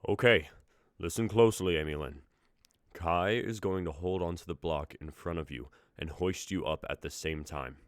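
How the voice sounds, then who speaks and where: A man in his twenties reading lines in a calm, instructive way. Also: low-pitched, normal speed, no echo, studio quality. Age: 20s. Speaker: actor in a script reading. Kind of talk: dramatic script reading.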